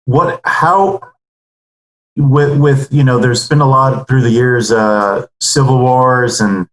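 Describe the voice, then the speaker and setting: Man hosting a webinar, mid-pitched, lots of ums and uhs; echoey room on a video call. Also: a bit bright. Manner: many ums and uhs, loose phrasing. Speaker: webinar host. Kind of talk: moderating a webinar.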